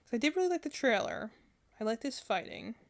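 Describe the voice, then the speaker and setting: A woman's voice: a little high, sing-song, brisk, vocal fry. A woman streamer chatting casually and thinking aloud as she gives rambling, opinionated reactions. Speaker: streamer reacting to game character trailers.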